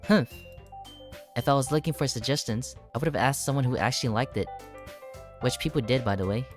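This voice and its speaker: A woman's voice: rumbling low, a little fast, faint music behind. A woman voicing a haughty, prickly young schoolgirl from a visual novel, snappy and defensive. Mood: huffy. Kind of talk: acted reading of game dialogue.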